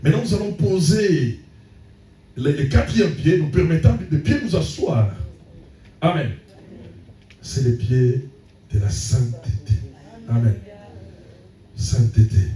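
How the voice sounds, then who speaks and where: A man's voice: a little high, animated, slow pace, pauses often, dark tone. A man preaching a sermon as pastor, punctuating his points with frequent calls of amen. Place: church hall. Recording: echoey church room, voice from a distance.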